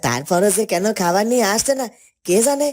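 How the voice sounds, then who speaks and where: A woman's voice: medium pitch, very animated, fairly quick, a little mellow. A woman voicing a whining, gluttonous, dim husband in a fairytale cartoon, stammering and pleading like a big baby. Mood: whining, hungry. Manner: whiny, stammering starts, pleading. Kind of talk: Bengali fairytale cartoon dubbing.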